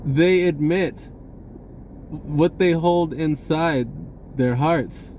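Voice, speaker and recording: Slow-talking man, casual and rambling, noisy background. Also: a little high, a little mellow. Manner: halting, informal and blunt, with swearing. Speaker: vlogger speaking a personal message. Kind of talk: rambling spiritual monologue, casual.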